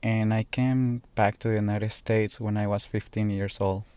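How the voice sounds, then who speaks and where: A nineteen-year-old man from El Salvador, telling his own story for a documentary video in a halting, earnest way with frequent pauses. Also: lowish voice, steady tone, mellow, clean tone, no echo. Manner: halting, earnest, pauses often mid-sentence. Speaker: student. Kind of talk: personal testimony in a documentary video.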